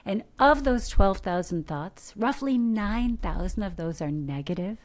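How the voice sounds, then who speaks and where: A middle-aged American woman from Detroit, an actor giving earnest, coaching advice in a podcast interview, animated with rhetorical questions. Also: mid-range voice, a little slow. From Detroit, USA. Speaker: guest, actor. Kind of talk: podcast interview, motivational talk.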